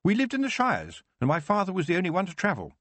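A man reading aloud, voicing a quiet, polite young boy from the countryside. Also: high-pitched, huge pitch swings, quick pace, dry recording, voice pushed up, full character voice.

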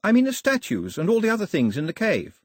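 Male narrator as young girl, storybook character, raised pitch, prim, earnest, insistent, animated delivery. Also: fast, no echo. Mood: urgent, insistent.

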